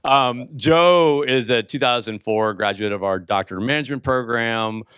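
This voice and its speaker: A man's voice: medium pitch, moderate pace, a bit bright, clean tone, no echo, studio quality. A man hosting a university talk, giving a chatty, jokey welcome with lots of fillers, speaking into a handheld microphone. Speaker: event host, executive director. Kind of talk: welcoming introduction. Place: university entrepreneurship floor.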